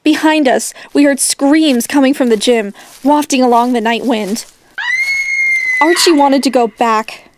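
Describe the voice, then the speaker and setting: Woman as high-voiced dramatic rich teenage girl. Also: measured pace, raised voice. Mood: ominous storytelling. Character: confident, dramatic rich teenage girl telling her story. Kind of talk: first-person storytelling in audio drama.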